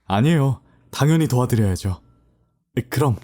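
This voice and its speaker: A man's voice: medium pitch, animated, moderate pace, natural voice. A man playing a confident young law student who faces down thugs, then speaks politely. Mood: gentle. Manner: cool and assertive, then polite and gentle. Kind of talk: amateur audio drama acting.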